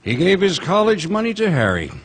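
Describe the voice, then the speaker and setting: A man's voice: high-pitched, huge pitch swings, brisk, slightly rough. A man narrating in a live radio drama, explaining the story in a storytelling way. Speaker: narrator speaking as a heavenly guide. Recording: theater stage with long reverb.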